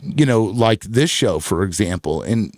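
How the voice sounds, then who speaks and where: A man hosting a casual panel chat, talking haltingly and searching for his words. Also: lowish voice, expressive, darkish tone, no echo, studio quality. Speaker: host.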